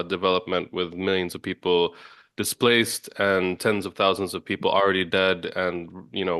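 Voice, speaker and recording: A man's voice: sits low, a bit bright, clear, resonant tone, dry recording, clean studio sound. A man hosting a news podcast, talking casually and hesitantly with many fillers and restarts. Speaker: podcast host.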